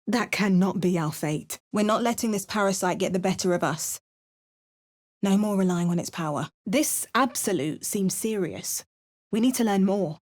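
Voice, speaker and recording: A woman voicing a young adventuring spellcaster in video game voice lines, with dry, wry asides and crisp incantations. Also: medium pitch, normal speed, a little mellow, lightly acted.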